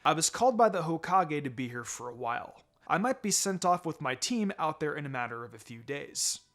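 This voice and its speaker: A man voicing a proud, gentle, doting ninja father in a story reading. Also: fairly high pitch, big pitch swings, brisk. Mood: calm.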